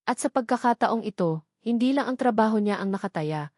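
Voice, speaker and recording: A woman's voice: a little high, animated, a little fast, darkish tone, dry recording, clean studio sound. A woman reading a scripted, suspenseful love story as narrator. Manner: dramatic, suspenseful.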